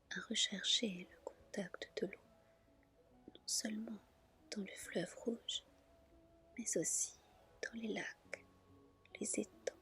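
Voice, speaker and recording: A woman softly and gently reading a fairy tale as an ASMR storyteller. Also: mid-range voice, creaky. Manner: soft, gentle, unhurried narration.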